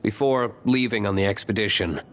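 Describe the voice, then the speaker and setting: Man playing a low-voiced, brooding young nobleman. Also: a little fast, a bit bright, natural voice. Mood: guarded. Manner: terse, serious, formal. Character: brooding, reserved young nobleman, principled and stubborn. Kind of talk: video game character acting.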